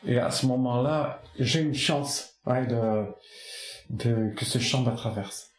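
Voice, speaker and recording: A man from the Basque Country whose first language is Basque, talking slowly and poetically in an interview. He keeps restarting his phrases as he searches for images. His voice sits at an ordinary pitch and carries a roomy echo around it. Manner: soft-spoken, poetic, halting with many restarts. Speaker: artist interviewed. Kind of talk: reflective reminiscing interview.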